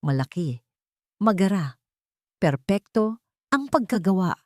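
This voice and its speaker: A woman with a Filipino accent reading a dramatic story as an audiobook narrator, solemn and expressive with deliberate pauses. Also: mid-range voice, no echo, studio quality.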